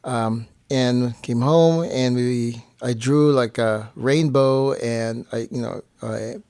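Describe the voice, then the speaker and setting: Man, mid-range voice, casual explainer, halting delivery, very dry recording. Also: medium pitch, warm tone, clear, resonant tone, no room sound. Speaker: co-host and filmmaker.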